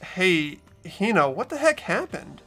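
A woman's voice: lowish voice, big pitch swings, unhurried, fairly bright tone. A woman reading narration and lead dialogue in a game playthrough, with casual banter. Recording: music bed behind voice.

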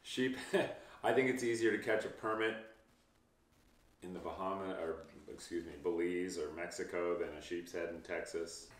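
Deep-voiced easygoing man, roomy sound. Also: fairly even intonation, normal speed. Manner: easygoing, reassuring, frequent ums. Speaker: fishing guide. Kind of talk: casual interview answers. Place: home office, indoors. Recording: roomy, distant mic.

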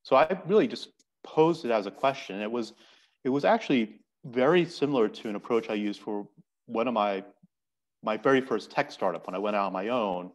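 A man's voice: medium pitch, a little fast. A middle-aged man, a podcast guest, explaining step by step in a thoughtful, conversational way over a video call from a home office. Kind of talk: conversational interview answers.

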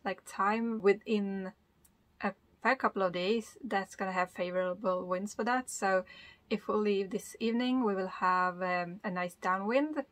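A woman in her thirties, a native Swedish speaker, talks to a camera held close inside a small sailboat cabin. She sounds relaxed and unhurried, thinking aloud with plenty of ums and uhs. Her voice sits at an everyday pitch. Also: no room sound. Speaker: sailing vlogger. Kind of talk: casual vlog talk to camera.

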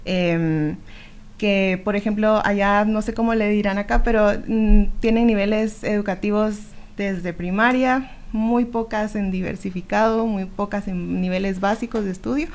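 A woman's voice: medium pitch, fairly even intonation, moderate pace, darkish tone. Hesitant young woman. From Guatemala. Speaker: programme worker guest. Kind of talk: explanatory radio interview answer. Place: small radio studio with several people. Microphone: studio microphone close up.

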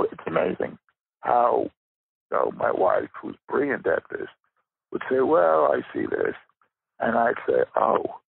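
A man with a raspy, gravelly voice giving reflective interview answers as a guest writer, pausing for emphasis. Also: mid-range voice, no room sound. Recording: muffled narrow phone-like line.

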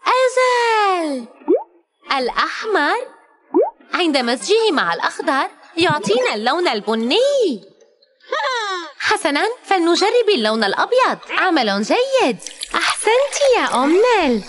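High-pitched, animated woman narrating for children. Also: normal speed. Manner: animated, encouraging, exclaiming. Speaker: narrator of a children's educational cartoon. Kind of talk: scripted narration teaching young children.

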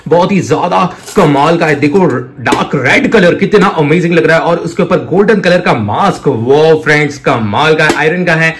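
A man with a slightly high voice presents toys in casual chat. He is effusive, stacking praise words, sprinkling in English and calling his viewers friends. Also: a bit bright, a little hiss or hum. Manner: effusive, repeats superlatives and addresses viewers as friends. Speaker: toy review host.

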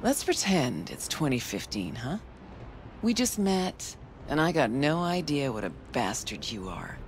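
A woman playing a tough, nostalgic veteran fixer in a video game, talking in an intimate, wry, measured way. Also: low-pitched, very animated, slight background noise, heavily acted. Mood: wry, teasing.

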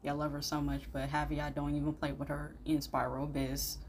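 A woman's voice: low voice, completely flat delivery, brisk, darkish tone, a little hiss or hum. A young adult woman giving casual, slangy, opinionated reaction commentary, prone to exclaiming. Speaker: YouTube reaction host.

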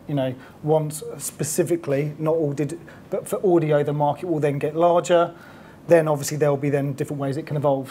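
A man speaking as a panelist in a conference panel discussion, measured and businesslike, often restarting his sentences. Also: on the high side, dark tone, a little hiss or hum. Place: conference stage, indoors.

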